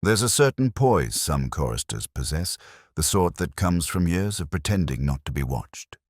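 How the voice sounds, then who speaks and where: A man with an extremely low voice narrates a detective mystery in the first person. His delivery is measured and reflective, with a literary turn, yet the pitch moves around expressively. The sound is dry and close, free of room echo. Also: big pitch swings.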